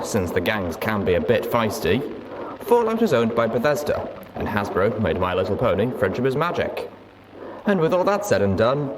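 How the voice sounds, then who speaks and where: Low-voiced man, wildly swooping radio-host delivery. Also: noisy background. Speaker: host of a reading show. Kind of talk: scripted radio-host introduction.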